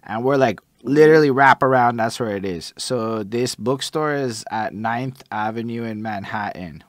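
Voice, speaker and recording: A man's voice: medium pitch, moderate pace, dry recording, studio quality. A man chatting casually on a podcast, telling stories in a rambling, slangy way with some swearing. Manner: rambling, slangy storytelling with profanity. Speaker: podcast speaker. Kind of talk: casual chat.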